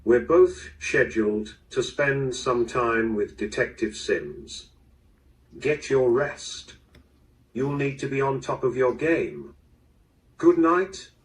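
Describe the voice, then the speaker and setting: A man's voice: medium pitch, slow, lightly acted. An actor playing a composed, proper man of a wealthy family in a mystery audio drama, speaking formally and politely. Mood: smooth, firm. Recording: distant, played through a small speaker.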